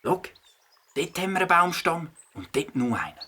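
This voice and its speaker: A man playing a helpful, inventive young boy with a husky voice and a Swiss German accent in a children's audio play. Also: fairly high pitch, sing-song, moderate pace, pushed and bright, exaggerated voice. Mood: resourceful.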